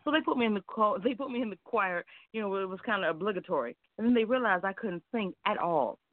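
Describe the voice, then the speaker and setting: A woman's voice: mid-range voice, lively intonation, fast talker, no room sound. Woman caller, casual banter, theatrical, wry, emphatic, tinny telephone sound, no room. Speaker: caller and poet. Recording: narrow, dry phone line.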